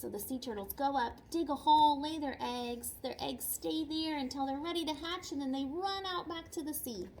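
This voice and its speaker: Lady teacher doing a kids read-aloud, highish lively voice, explains stuff simply and asks questions, bit of noise behind. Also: normal speed, few pauses, rough recording. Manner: expressive, simple child-directed explaining with repetition and questions. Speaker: children's teacher reading a picture book aloud. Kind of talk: read-aloud lesson with chatty explanatory asides.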